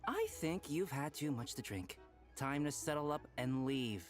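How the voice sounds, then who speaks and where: An actress playing a polite but firm waitress in an anime game, composed and courteous. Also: female voice, a bass voice, expressive, a little slow, soft background music. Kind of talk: scripted anime game voice acting.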